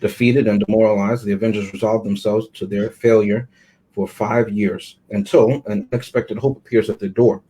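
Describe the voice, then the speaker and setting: A man reading a scripted synopsis in a measured, dramatic way. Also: fairly low pitch, fairly even intonation, mellow, a little hiss or hum, rough recording. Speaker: show panelist reading synopsis.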